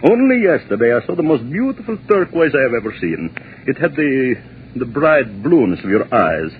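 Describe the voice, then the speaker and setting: Jealous maestro husband, swooping old-radio voice. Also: male voice, medium pitch, big pitch swings. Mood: tender, anxious. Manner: formal, halting, theatrical phrasing. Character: jealous, doting older maestro husband, anxious and formal. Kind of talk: 1940s radio drama acting. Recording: muffled, noisy 1940s radio recording.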